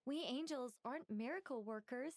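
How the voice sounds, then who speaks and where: A dutiful, slightly weary angel from a video game, voiced by a woman. Her voice is fairly high, composed and careful as she explains. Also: normal speed, slight background noise, close to an everyday voice. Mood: reluctant.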